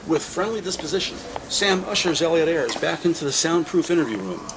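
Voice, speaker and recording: A man narrates a scripted audio drama in the present tense. His voice sits a little high for a man. There is noticeable background noise around it. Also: animated, brisk.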